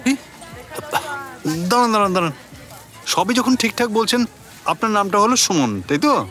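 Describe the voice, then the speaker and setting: A man's voice: high-pitched, sing-song, normal speed, sharp tone, exaggerated voice. A man playing a bewildered, suspicious brother-in-law in a radio drama, questioning incredulously with a West Bengal Bengali accent.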